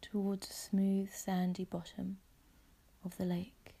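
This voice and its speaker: A woman leading a guided visualisation meditation in a soft, breathy, husky voice, speaking gently and calmly in measured, soothing phrases. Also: medium pitch.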